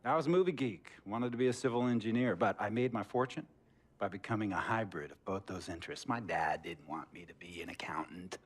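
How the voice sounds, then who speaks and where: A showy rich tech mogul in a game cutscene, rambling through grand stories in a somewhat low voice. He talks in a theatrical, chummy way. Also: brisk, slightly rough. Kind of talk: video game cutscene dialogue.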